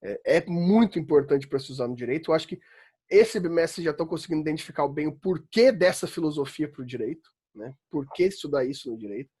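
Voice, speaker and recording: A man's voice: fairly high pitch, lively intonation, quick pace, a little mellow, breathy edge. A man from São Paulo with a Paulista accent, teaching an online law philosophy class in a chatty, emphatic way, often asking "né?". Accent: Brazilian Portuguese, São Paulo. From São Paulo, Brazil. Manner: chatty, emphatic, rising to exasperated rants, frequent "né?". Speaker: law school philosophy professor. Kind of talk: online lecture. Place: home, online class during quarantine.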